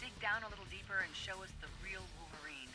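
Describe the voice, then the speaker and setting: A woman's voice: medium pitch, fast talker, over music, lightly acted. A woman playing a patient but harried voice session director in a video game, polite and coaxing. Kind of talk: video game character acting.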